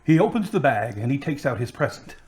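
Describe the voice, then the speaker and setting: A man's voice: medium pitch, big pitch swings, brisk, a little mellow. A man reading scripted scene narration for an audio drama as its narrator.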